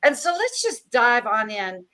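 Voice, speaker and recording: A woman's voice: on the high side, sing-song, unhurried, pushed and bright. A woman hosting an interview, introducing and questioning her guest with gushing, playful enthusiasm. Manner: gushing, playful, exaggerated praise. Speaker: host.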